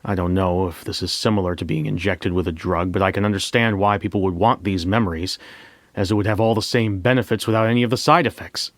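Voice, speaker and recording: A man narrating a scripted first-person story as a bank manager, in a measured, reflective way with deliberate pauses. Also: low-pitched, fairly even intonation, dry recording. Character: bank manager recounting a memory heist.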